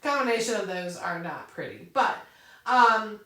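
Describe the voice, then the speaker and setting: A woman's voice: mid-range voice, expressive, a little slow, a bit bright. A woman hosting a casual craft Q&A, answering viewers' questions and thinking aloud while she demonstrates on her computer, laughing often. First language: English. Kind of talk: casual live Q&A with on-screen demonstration. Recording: roomy echo, a bit far from the mic.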